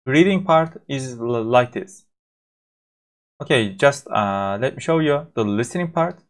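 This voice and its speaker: A man with a Turkish accent, a native Turkish speaker, teaching a course by walking through a software demo, with frequent fillers and halting restarts. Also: mid-range voice, expressive. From Turkey. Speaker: course instructor.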